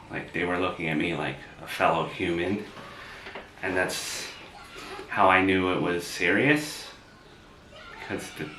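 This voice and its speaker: A man's voice: low-pitched, sharp tone, heavily acted. Man playing a hurt, guarded young woman. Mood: subdued. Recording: roomy, distant mic, faint hiss.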